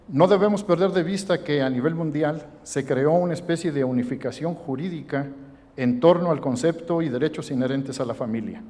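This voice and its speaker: A man reading a prepared formal speech and introducing the next speaker, measured and formal. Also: a little high, a little mellow. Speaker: event presenter. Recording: reverberant hall.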